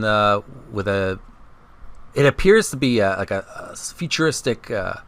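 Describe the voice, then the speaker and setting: Bright-voiced man with a lively, swinging pitch. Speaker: host of a video gaming channel. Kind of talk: casual vlog intro and reading aloud from a book.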